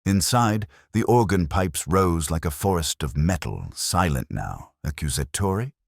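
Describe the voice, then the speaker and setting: A man giving a measured, brooding first-person narration of a detective story. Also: low-pitched, lively intonation, vocal fry, no room sound, clean studio sound. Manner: measured, brooding, literary delivery.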